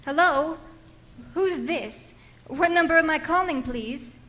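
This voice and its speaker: A woman's voice: sits high, takes it slowly, fairly bright tone. A woman playing a nervous, querulous invalid wife in an old-time radio suspense play. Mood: anxious, confused. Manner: querulous, insistent. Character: nervous, fretful invalid wife, home alone at night.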